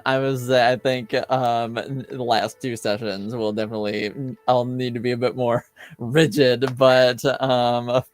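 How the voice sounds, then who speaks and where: A man talking casually as a game master, in a halting, tentative way. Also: mid-range voice, little pitch movement, no echo. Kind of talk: casual chat.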